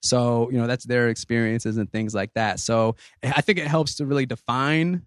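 A man's voice: mid-range voice, moderate pace, dry recording, studio quality. Man in his thirties hosting, rambling. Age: 30s. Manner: relaxed, rambling with many fillers. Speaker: podcast host. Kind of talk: casual group discussion.

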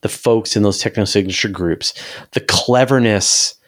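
Low-voiced man discussing science, dry close sound. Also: moderate pace, no room sound. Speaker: interview guest, science commentator.

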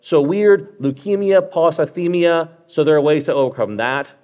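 A man's voice: fairly high pitch, normal speed, a little mellow, highs cut off. A man hosting a live medical podcast. He walks through clinical cases in a conversational way, with lots of fillers and check-ins with the listener.

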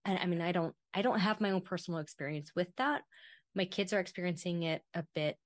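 A middle-aged woman hosting a podcast, asking long, thoughtful questions in a relaxed conversational way. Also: lowish voice, quick pace, dry recording, clean studio sound. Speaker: podcast host. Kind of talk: conversational interview.

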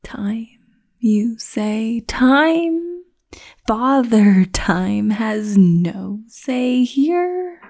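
Slow, dreamy, cryptic young woman played by an actress. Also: on the high side, expressive, darkish tone, exaggerated voice. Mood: trancelike. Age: young adult. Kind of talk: audio drama acting.

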